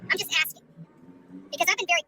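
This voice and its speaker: A woman's voice: very high-pitched, rapid-fire, pushed and bright, no echo. A woman in a confrontational, taunting, sweary rant, filmed on the street. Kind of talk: confrontational phone-video rant. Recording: distant, noisy street recording with vehicles.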